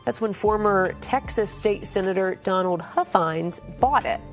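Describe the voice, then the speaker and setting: A woman's voice: medium pitch, a little slow, faint music behind. A woman delivering a broadcast news report as a TV reporter.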